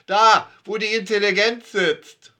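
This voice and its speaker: A man voicing a sharp-tongued, nosy middle-aged neighbor woman in a comic story read aloud, sarcastic and mocking. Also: high voice, steady tone, a little slow, pitched above the performer's own voice.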